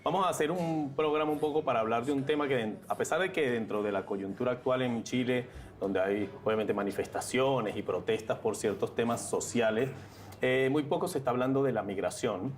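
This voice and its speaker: A man's voice: mid-range voice, a little fast. A man hosting a televised interview and debate, framing questions in a conversational way and thinking aloud as he goes. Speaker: TV interview host.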